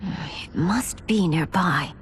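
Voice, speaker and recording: A woman's voice: fairly low pitch, takes it slowly, slight background noise. A woman playing a resolute, practical warrior in a video game cutscene, urgent in delivery. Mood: determined.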